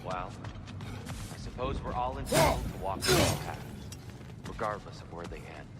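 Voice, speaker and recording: An actor playing a gentle, wise old god in a video game, speaking in a measured, formal, thoughtful way. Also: male voice, on the low side, huge pitch swings, heavy background noise. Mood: reflective.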